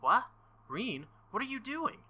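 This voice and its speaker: A man's voice: very high voice, big pitch swings, unhurried, sharp tone, husky, pitched above the performer's own voice, full character voice. A man voicing an earnest young knight girl from a visual novel who sounds puzzled. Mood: confused.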